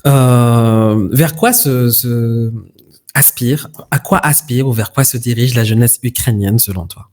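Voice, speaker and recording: Male host, mid-pitched, casual interviewer, informal. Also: measured pace, a little mellow. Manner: informal, addresses guest with tu.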